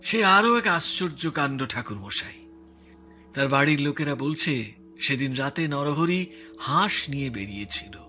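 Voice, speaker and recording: A man's voice: a little high, bright, forceful tone, heavily acted. A man playing a troubled, respectful village headman explaining grim matters in an audio story. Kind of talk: Bengali audio story acting.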